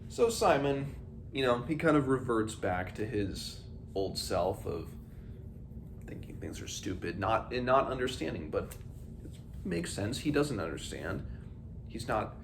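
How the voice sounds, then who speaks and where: A man's voice: medium pitch, sing-song, measured pace. A man hosting an informal book read-along, mixing read-aloud passages with his own commentary in stop-and-go phrasing. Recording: clearly noisy background ambience.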